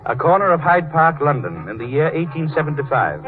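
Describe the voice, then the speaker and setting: A man's voice: fairly high pitch, big pitch swings, brisk. A man presenting and narrating a 1930s radio drama in a stately, formal, scripted style. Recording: tinny, husky 1930s radio recording.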